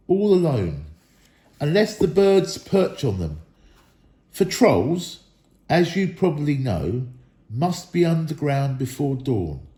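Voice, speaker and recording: A man's voice: mid-range voice, mellow. A man reading a story aloud expressively, in the style of children's storytelling, in an echoey room. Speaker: storybook reader, school staff member.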